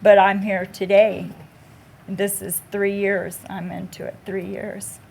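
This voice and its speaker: Slow, gravelly woman telling her story. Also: medium pitch, little pitch movement, a little mellow. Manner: slow, halting, with many fillers. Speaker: patient panelist. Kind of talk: panel discussion, personal story.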